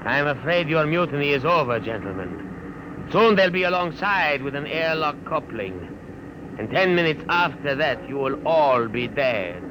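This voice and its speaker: An actor playing a cold, gloating spaceship captain in a vintage radio drama, formal and deliberate in his menace. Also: on the high side, lively intonation, natural voice. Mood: smug menace. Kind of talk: 1950s radio drama acting. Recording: noisy vintage radio recording.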